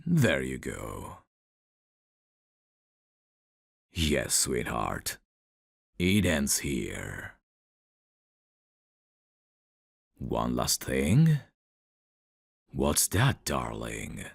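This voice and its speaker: A man acting a teasing, menacing, flirtatious mafia boss in an ASMR roleplay, with a smug, gravelly, intimate drawl. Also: very deep voice, huge pitch swings, extremely slow, heavily acted. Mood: commanding, then smug flirting.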